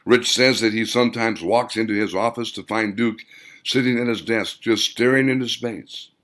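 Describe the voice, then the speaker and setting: A man's voice: fairly low pitch, steady tone, moderate pace, fairly bright tone, lightly acted. A man voicing a chatty, nosy married woman, gossipy and effusive, in a storybook reading. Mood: gossipy, admiring.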